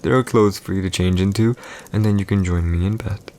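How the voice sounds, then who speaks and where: A man's voice: on the low side, fairly quick, darkish tone, slight background noise, lightly acted. A man playing a haughty, teasing villain emperor in an ASMR audio roleplay, with formal, condescending, old-fashioned diction. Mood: teasing, smug.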